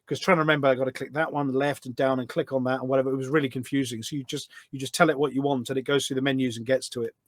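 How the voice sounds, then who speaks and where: A man's voice: medium pitch, no echo, clean studio sound. A man chatting casually as a podcast guest, an enthusiastic storyteller who rambles along from one detail to the next. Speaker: podcast guest, game voice pack producer. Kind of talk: casual chat, anecdotal.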